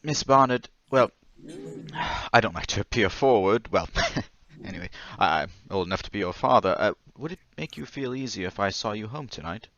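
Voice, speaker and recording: A man's voice: fairly low pitch, expressive, moderate pace, a bit bright. A man playing a nervous, apologetic middle-aged traveling salesman in a radio drama, stammering and hesitant. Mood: concerned, shy. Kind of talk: old-time radio drama acting. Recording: dull, lo-fi audio.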